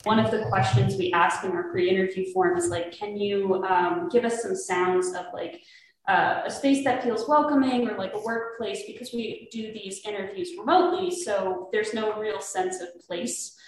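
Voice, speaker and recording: A woman's voice: mid-range voice, normal speed. A woman casually explaining podcast design at a panel, with lots of "like" and "um". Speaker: podcast producer. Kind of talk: panel discussion. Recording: very echoey room with faint noise.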